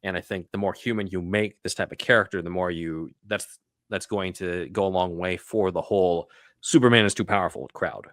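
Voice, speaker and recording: Low-voiced male podcast host. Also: a little fast, a bit bright, no echo, clean studio sound. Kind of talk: casual podcast talk.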